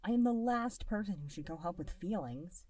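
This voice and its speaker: A woman's voice: fairly low pitch, animated, normal speed, a little mellow, dry recording, distant mic. A woman voicing a grumpy, reluctant male character in a fan fiction audiobook, speaking firmly. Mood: firm refusal. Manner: firm and grumbling.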